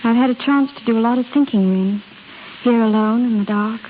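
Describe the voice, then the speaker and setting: A woman's voice: a little high, brisk, narrow, tinny audio, lightly acted. A woman playing a hurt, resolute young wife in an earnest, emphatic 1930s radio drama style, on a hissy old recording. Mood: grave, confessing.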